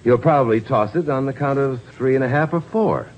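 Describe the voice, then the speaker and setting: An actor playing an urgent, quick-thinking American lawyer in a 1950s radio drama. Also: male voice, mid-range voice, lightly acted. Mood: earnest, persuasive. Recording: dull, faintly noisy vintage radio broadcast.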